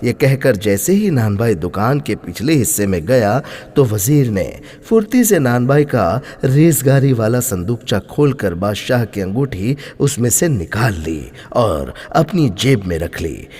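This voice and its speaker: A man narrating a scripted moral story in a dramatic, expressive way. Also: medium pitch, unhurried, hardly any pauses, breathy edge. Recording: long hall-like reverb.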